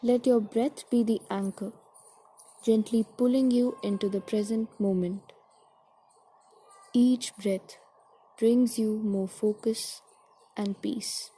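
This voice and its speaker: A woman with a fairly high, very breathy voice leads a guided meditation. Her tone is dark and relaxed, soft enough to trail toward a whisper. She speaks gently and very slowly, in a soothing, deliberate manner.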